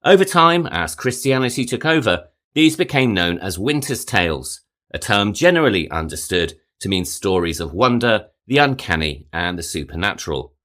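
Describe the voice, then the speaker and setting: Low-voiced man hosting a podcast. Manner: measured, lively rises then falling phrase endings. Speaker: podcast host. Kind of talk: scripted narration.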